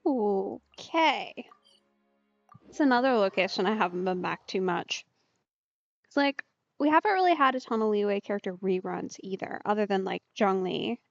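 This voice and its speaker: A woman's voice: medium pitch, lively intonation, moderate pace, vocal fry, lo-fi sound. A young woman casually commenting while playing a video game, chatty and giggly. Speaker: game streamer. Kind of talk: casual let's play commentary. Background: game music.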